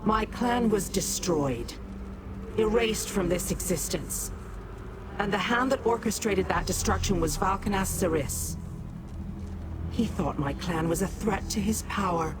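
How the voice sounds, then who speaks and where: A proud female demon out for vengeance, voiced by an actress in a fantasy game. She speaks in a middle register with measured, imperious contempt. Music plays clearly behind her. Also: medium pitch, natural voice, low-quality recording. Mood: bitter. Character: vengeful, haughty female Daedra, last of her clan. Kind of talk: fantasy video game voice acting.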